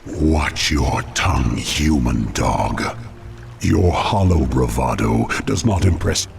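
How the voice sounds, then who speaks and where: A proud, menacing demon from a fantasy game. The voice is cavernously low and very gravelly. It moves at a slow, weighty pace with formal diction. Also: male voice, expressive, faint music behind, heavily acted. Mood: contemptuous. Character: haughty demon dreadlord, cunning reluctant servant.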